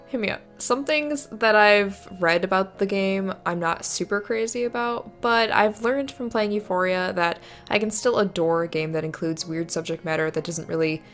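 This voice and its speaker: A young adult woman giving casual, informal video commentary on games in a chatty, enthusiastic way. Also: medium pitch. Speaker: video creator. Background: background music.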